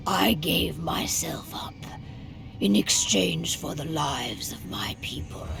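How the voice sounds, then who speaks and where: Slow, gravelly-voiced captive werewolf leader. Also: female voice, deep voice, expressive, heavily acted. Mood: bitter. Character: proud, vengeful female werewolf tribe leader held captive. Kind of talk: dramatic video game acting. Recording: music behind the voice.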